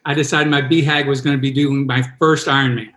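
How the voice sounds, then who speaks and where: A man's voice: mid-range voice, level tone, fairly quick, a bit bright, roomy sound. A man in his sixties, a triathlon coach, telling a self-deprecating story with many restarts in a podcast interview. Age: 60s.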